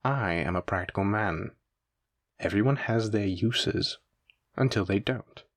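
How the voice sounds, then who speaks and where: A man's voice: fairly low pitch, unhurried, no echo. A man playing a suave, menacing mafia boss in a roleplay audio drama, with a theatrical, teasing and condescending manner. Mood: smug, calm menace. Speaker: voice actor. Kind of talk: scripted roleplay audio drama addressed to listener.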